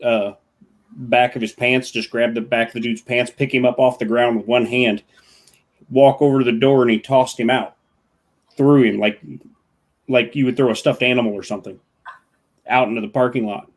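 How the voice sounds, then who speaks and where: A man's voice: mid-range voice, fairly even intonation. A man chatting casually on a stream, telling long anecdotes with frequent restarts. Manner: storytelling, drawn-out anecdotes with restarts. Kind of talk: casual chat.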